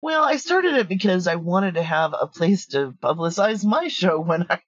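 A woman's voice: fairly low pitch, lively intonation, moderate pace, slightly rough, no echo, lo-fi sound. A woman chatting frankly and practically as an interview guest about her creative work. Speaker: audio drama writer and producer, interview guest. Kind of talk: casual interview chat.

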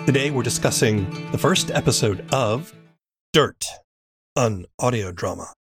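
A man's voice: medium pitch, lively intonation, a little slow, soft background music. A man hosting an interview podcast, moving between scripted narration and questions for his guest.

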